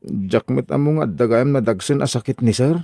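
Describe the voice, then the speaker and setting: A man's voice: mid-range voice, moderate pace, creaky, dark tone, dry recording, natural voice. A man acting as an apologetic, lovesick rejected suitor in an Ilocano drama, pleading hesitantly with a Filipino Ilocano accent. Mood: sheepish pleading.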